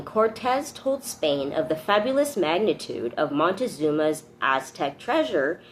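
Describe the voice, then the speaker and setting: A woman's voice: on the low side, rough recording. A woman reading a book aloud and adding informal, earnest commentary as host. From Canada.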